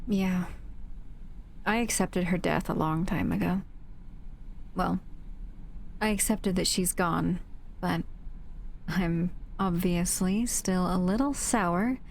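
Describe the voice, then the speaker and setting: Slow-paced, wistful protective monster woman played by an actress. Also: lowish voice, gravelly, darkish tone, slight background noise. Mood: bitter, resigned. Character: female monster, protective escaped lab captive, warm and wistful. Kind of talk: audio roleplay acting addressed to the listener.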